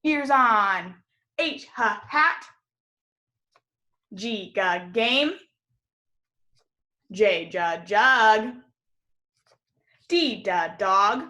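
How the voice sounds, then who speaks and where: A woman's voice: mid-range voice, lively intonation, very slow, pauses often, pushed and bright. An American woman in her thirties teaching young children as a kindergarten teacher, encouraging and enunciating with exaggerated care in a call-and-repeat drill.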